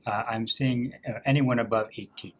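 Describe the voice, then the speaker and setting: A man from Canada working as a family doctor, answering interview questions in a measured way with frequent ums and uhs. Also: medium pitch, fairly even intonation, highs cut off. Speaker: family physician guest.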